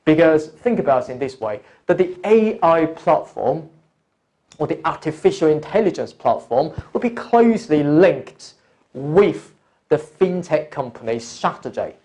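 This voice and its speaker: A man working as an online course tutor, explaining step by step in a deliberate, instructional lecture style and addressing the learner directly. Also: on the high side, very animated, a little mellow. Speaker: accounting exam course tutor. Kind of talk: explanatory lecture, talking through examples.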